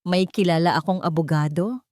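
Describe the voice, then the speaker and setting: A woman's voice: lowish voice, a little fast, darkish tone, dry recording, clean studio sound, close to an everyday voice. A woman voicing a worried, protective adult son who pleads earnestly in an audio story.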